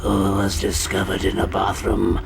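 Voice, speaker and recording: A man voicing an ancient giant male spider in a video game, solemn, menacing and gravelly, with a grave, deliberate delivery. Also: sits low, monotone, background noise, full character voice.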